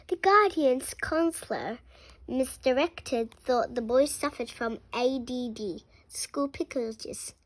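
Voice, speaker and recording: High-pitched, animated young child reading aloud. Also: poor audio. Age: 7 years old. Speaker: young reader.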